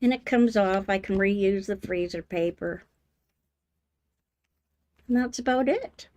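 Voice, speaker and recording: An older woman hosting a live sewing demonstration, talking in a homey, unhurried way with many ums, her voice slightly hoarse. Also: medium pitch, darkish tone. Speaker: sewing channel host. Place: home sewing room.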